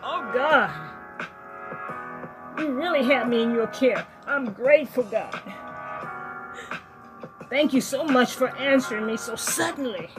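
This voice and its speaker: An earnest young believer talking out loud to God, played by a woman in a church drama. The voice sits fairly high and moves slowly and deliberately. Music plays clearly underneath her. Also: low-quality recording. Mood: grateful. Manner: talks aloud to God, emotional, shifting between excitement and pleading.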